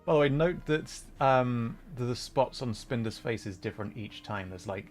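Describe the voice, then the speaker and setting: A man hosting a gaming livestream, chatting casually and thinking out loud in a rambling way as he plays. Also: mid-range voice, animated, normal speed. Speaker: livestream host. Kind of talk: casual gaming livestream chat while playing. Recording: music playing behind the voice. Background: music.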